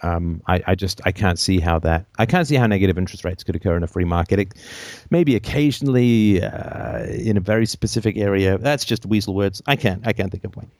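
A middle-aged man from Canada, born in Ireland and raised partly in England, hosting a call-in show in a rambling, joking way with quick asides. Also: low voice, a little mellow, no room sound. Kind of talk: casual call-in conversation.

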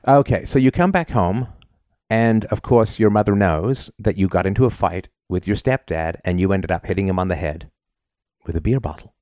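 Talkative low-voiced man hosting a call-in. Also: moderate pace, a little mellow, no room sound, dull audio. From Britain. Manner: talkative, storytelling, frequent "right?" tags. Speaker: call-in show host. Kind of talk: call-in advice conversation.

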